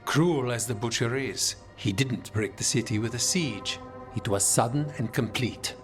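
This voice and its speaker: A man voicing a composed, calculating assassin leader in a video game, with a measured, formal delivery. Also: mid-range voice, over music, natural voice. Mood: measured, resolute.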